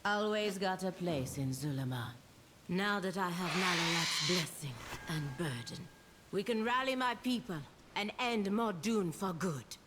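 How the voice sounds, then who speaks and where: A woman's voice: lowish voice, lively intonation, unhurried, background noise. A woman playing an earnest, resolute young troll warrior leader in a video game. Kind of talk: video game dialogue acting. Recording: game music behind.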